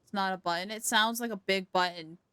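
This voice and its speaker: A woman's voice: mid-range voice, brisk, pushed and bright, smooth, no room sound. A woman livestreaming a game with casual, chatty commentary, reacting aloud as she goes.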